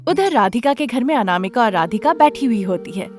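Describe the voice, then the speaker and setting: A woman's voice: on the high side, animated, fairly quick. A woman narrating a scripted story as a storyteller. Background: background music.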